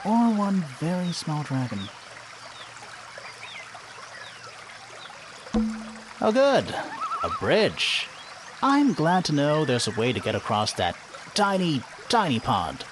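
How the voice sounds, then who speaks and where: A man playing a quippy, sarcastic detective with a wry, expressive delivery in a mystery adventure game. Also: fairly high pitch, a little slow, background noise. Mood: playful, wry. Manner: wry, dryly joking, expressive. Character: quippy, sarcastic male detective, curious and easily baffled.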